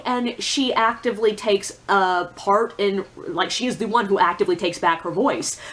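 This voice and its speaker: A woman with a fairly high voice talks casually, as in a video book review. She is blunt and sardonic, and she punctuates her opinions with emphatic, conversational asides. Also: a bit bright.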